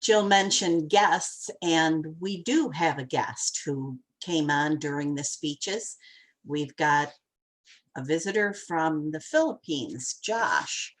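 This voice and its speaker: A woman's voice: low voice, takes it slowly. A woman hosting an online club meeting over a video call, friendly and orderly as she introduces each speaker. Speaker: meeting host.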